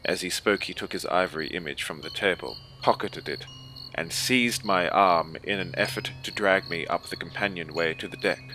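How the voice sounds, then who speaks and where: A man playing a cold, haughty German naval officer recounting a horror story in a formal, measured, grave manner. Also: low voice, sharp tone, rough recording. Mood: grave, detached. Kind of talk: scripted audiobook horror narration. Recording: clear ambient noise bed behind voice. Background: ambient soundscape.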